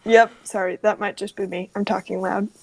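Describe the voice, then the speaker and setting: A woman with a loud voice chatting casually as a game player over voice chat, self-deprecating and talkative. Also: medium pitch, flat delivery, normal speed, low-quality recording. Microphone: online voice chat.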